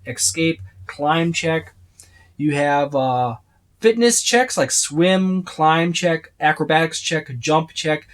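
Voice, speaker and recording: A man giving a casual, unscripted walkthrough as a reviewer, rambling and listing items as he browses. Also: a little high. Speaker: tabletop game book reviewer.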